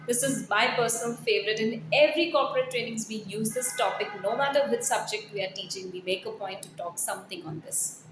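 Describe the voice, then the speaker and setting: A woman's voice: a little high, a little fast, hardly any pauses. A woman, a corporate trainer reading a written piece aloud with personal asides in an emphatic, earnest, exhortative manner. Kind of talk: scripted blog read aloud with personal asides. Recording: roomy echo, faint background noise.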